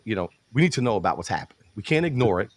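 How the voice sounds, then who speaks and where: A man with a lowish voice who grew up in New York hosts a casual interview show. He laughs easily and leans into slangy, warm-hearted banter with his guest. The voice sounds dry and close to the mic. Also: sing-song, rapid-fire, no room sound. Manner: hypes guest, laughs often, calls him brother. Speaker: show host.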